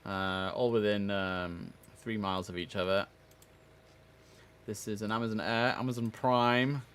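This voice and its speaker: A man's voice: lowish voice, fairly even intonation, a little slow, fairly bright tone, clear, resonant tone. A man live streaming as an air traffic controller, mixing chatty muttered asides with clipped, formal radio-style instructions.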